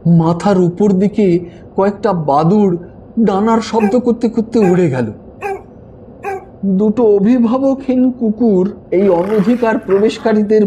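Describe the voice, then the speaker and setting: A man narrating a classic story in an expressive, theatrical way. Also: high voice, a little hiss or hum. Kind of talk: dramatic audio-story narration of classic fiction.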